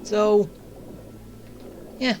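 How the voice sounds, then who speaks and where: Female game streamer, unhurried slow delivery, offhand, halting short remarks, faint noisy ambience. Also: a little mellow. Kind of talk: casual gameplay commentary.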